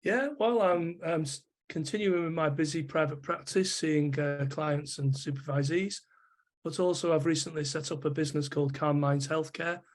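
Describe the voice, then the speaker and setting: A man working as a psychotherapist, answering interview questions thoughtfully with a rough voice from a chest infection. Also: fairly high pitch, normal speed. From England. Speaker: psychotherapist guest.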